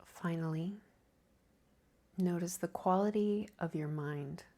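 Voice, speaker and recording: A woman guides a deep-rest meditation as a yoga nidra teacher. Her voice sits slightly low and moves at a very unhurried pace. The delivery is gentle and calming, with a soft, breathy touch.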